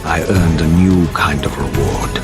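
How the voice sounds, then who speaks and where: A proud and bitter undead vampire speaks in a deep voice for a dark video game. His delivery is slow, formal and grave, with theatrical weight. Loud music plays behind him. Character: proud, bitter male vampire lieutenant turned tormented wraith. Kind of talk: dramatic video game voice acting.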